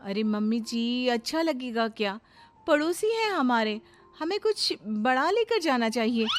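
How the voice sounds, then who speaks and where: A woman's voice: fairly high pitch, animated, unhurried. A woman voicing a boastful, chatty young daughter-in-law who exaggerates airily in a cartoon moral story. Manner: bragging, dismissive, exaggerating. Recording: music behind the voice.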